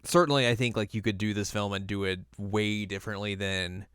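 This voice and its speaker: Smooth-voiced man in casual podcast chat. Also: fairly low pitch, steady tone, a little fast, a bit bright, no room sound, clean studio sound. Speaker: podcast co-host. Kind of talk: casual film review chat.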